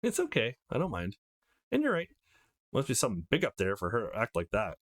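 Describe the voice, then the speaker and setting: A man acts out a motherly older woman from a visual novel. She fusses gently and reassures, kindly and a little wistful, with pitch that moves up and down a lot. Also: wildly swooping pitch, brisk, dry recording, clean studio sound. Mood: reassuring. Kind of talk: visual novel character reading.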